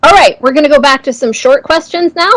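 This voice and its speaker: Higher-voiced woman hosting a casual interview. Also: brisk, a bit bright, rough recording. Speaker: interviewer, host.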